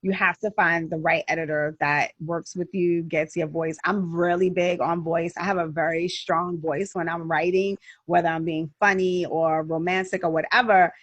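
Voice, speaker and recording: Husky low-voiced woman host. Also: little pitch movement, normal speed, hardly any pauses, fairly bright tone, no room sound, highs cut off. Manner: chatty, encouraging interviewer. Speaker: host and editor. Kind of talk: casual interview chat. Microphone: video call.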